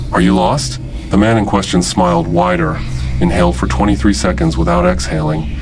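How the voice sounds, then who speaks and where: A man playing a grave military announcer, reading scripted horror narration as an emergency broadcast in a solemn, deliberate, ominous way. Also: lowish voice. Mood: grim, ominous. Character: grave male military emergency announcer warning of uncanny threat. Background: music.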